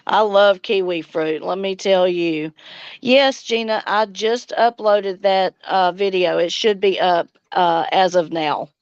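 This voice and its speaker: A woman's voice: mid-range voice, fairly even intonation, takes it slowly, smooth, no echo, highs cut off. A middle-aged woman hosting a casual livestream, chatting and answering viewer comments. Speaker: livestream host and homesteading vlogger.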